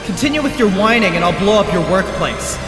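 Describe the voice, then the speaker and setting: A very high-pitched, animated young idol ringleader acted by a man in an anime dub. He is showy and taunting, declaiming like a stage agitator in heavy reverb over music. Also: fairly quick. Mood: threatening. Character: brash, theatrical young male idol ringleader, taunting rabble-rouser. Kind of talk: anime fandub acting, stage-speech declamation. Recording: echoey long reverb, loud music behind.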